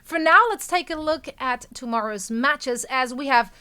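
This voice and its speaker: A woman hosting a live esports desk show, upbeat and brisk, laughing easily with her co-hosts. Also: high-pitched, pushed and bright, smooth. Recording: music bed behind.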